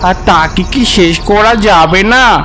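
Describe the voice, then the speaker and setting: Man voicing a high-voiced, earnest father duck. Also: slow, a bit bright, soft background music. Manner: earnest, polite. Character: grateful, protective father duck. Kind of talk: cartoon voice acting.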